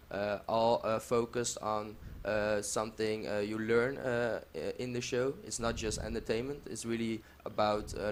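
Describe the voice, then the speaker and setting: A man's voice: fairly low pitch, a little hiss or hum. A young man answering as an interview guest, hesitant and searching for words. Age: young adult. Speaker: guest, theater maker.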